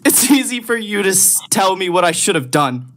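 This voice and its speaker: A man's voice: high voice, big pitch swings, normal speed, fairly bright tone. A young man playing a desperate, bitter condemned prisoner in a vintage radio drama, stammering and overwrought. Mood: bitter, vengeful. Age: young adult.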